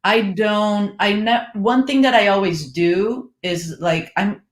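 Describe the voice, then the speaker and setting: Brazilian woman, steady explainer, echoey room. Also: mid-range voice, takes it slowly. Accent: Brazilian Portuguese. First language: Portuguese. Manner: steady and explanatory, with frequent "like" fillers. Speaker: PR manager, panel guest. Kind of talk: casual online panel chat.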